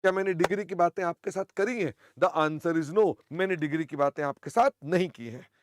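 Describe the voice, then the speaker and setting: An older man teaching accounting in an online class, hoarse, chatty and teasing, often clearing his throat. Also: on the high side, brisk, background noise.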